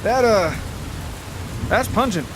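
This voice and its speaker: Man playing a high-voiced, folksy, kindly stepdad. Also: expressive, slow pace, background noise. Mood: good-humored. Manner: gentle, folksy, hesitant asides. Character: kindly, folksy, easygoing stepfather of a boy.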